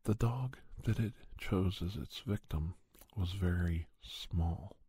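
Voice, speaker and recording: A man playing a paranormal investigator who recounts his cases in soft, breathy, halting first-person ASMR narration. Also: low-pitched, no echo, heavily acted. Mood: calm, hushed, matter-of-fact. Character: male paranormal investigator doctor recounting monster cases, unhurried. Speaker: storyteller.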